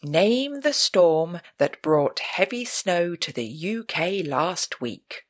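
Slow, lively woman hosting a quiz. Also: lowish voice. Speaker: quiz host. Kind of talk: scripted pub quiz narration.

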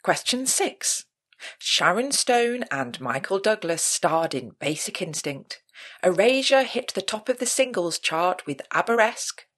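Female pub quiz host, scripted read, unhurried pace with animated rises and falls. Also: mid-range voice.

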